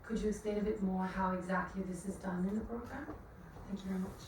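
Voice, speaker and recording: A woman asks a formal, polite question from the audience floor. She sounds far from the microphone, in a very reverberant hall with clear room noise. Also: medium pitch, steady tone, fast, a little mellow. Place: conference room. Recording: very echoey conference hall from far off, noisy.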